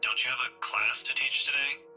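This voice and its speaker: A man voicing a young police officer in a visual novel, a calm, protective friend who is blunt and reassuring. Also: medium pitch, normal speed, music in the background, natural voice, rough recording. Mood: guarded, reassuring.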